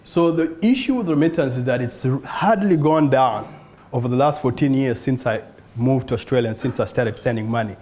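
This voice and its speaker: A man from South Sudan pitches his startup to a live audience. His voice is mellow and a bit dark. He speaks earnestly and lifts his pitch on rhetorical questions. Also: a little fast. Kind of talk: conference pitch talk. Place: event room in front of an audience.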